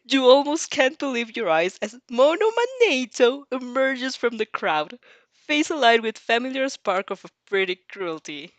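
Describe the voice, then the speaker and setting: Woman reading fanfic out loud, super expressive, casual reactions, dry close sound. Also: on the high side, measured pace, no echo. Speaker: fan fiction reader and reactor. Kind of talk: expressive story narration with casual asides.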